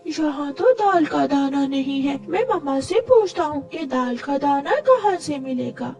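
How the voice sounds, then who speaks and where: Woman as high-voiced cartoon bird boy, eager, persistent, pleading, distant mic, music behind. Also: lively intonation, a little slow, darkish tone. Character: eager little male bird child, friendly and persistent. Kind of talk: Hindi cartoon story acting.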